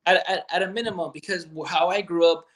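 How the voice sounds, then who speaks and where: A man's voice: high-pitched, fairly even intonation, fast talker, crowd noise. Blunt, profane man on a video call. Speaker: guest, gun business owner. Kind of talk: candid podcast chat. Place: bedroom at home.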